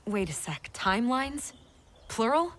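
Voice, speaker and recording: A woman's voice: fairly low pitch, measured pace. An actress playing a plain-spoken young female fighter in a video game, talking in a casual, blunt, modern way. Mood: confused. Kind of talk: video game cutscene acting.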